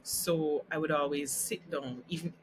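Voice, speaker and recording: A woman's voice: low-pitched, fairly even intonation, measured pace, background noise. A Jamaican woman whose first language is Jamaican Creole, a teacher speaking earnestly as a podcast guest and switching into Creole now and then. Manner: earnest, explains carefully, slips into Creole. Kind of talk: casual podcast interview.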